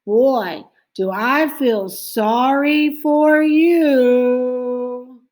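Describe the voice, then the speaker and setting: Bossy storybook schoolgirl, played by a woman, high and emphatic, a taunting show-off. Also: very slow, a little mellow. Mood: mock pity.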